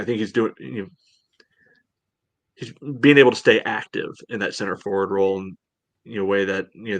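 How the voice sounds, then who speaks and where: A man's voice: fairly low pitch, fairly bright tone, no room sound. A man co-hosting a casual sports discussion, talking in a rambling way peppered with filler phrases. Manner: rambling, peppered with "you know". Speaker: podcast co-host.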